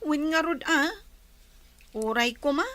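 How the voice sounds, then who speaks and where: A woman's voice: high voice, takes it slowly, a bit bright, no echo. An actress playing a frank, inquisitive female cousin in a radio drama. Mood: curious, frank. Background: music.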